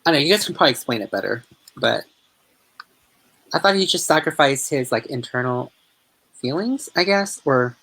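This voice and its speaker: A woman with a strikingly low voice chats casually as a co-host on a book discussion. She rambles hesitantly and is quick to put herself down. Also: lively intonation, fairly bright tone, rough recording. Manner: hesitant, rambling, self-deprecating. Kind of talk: casual chat.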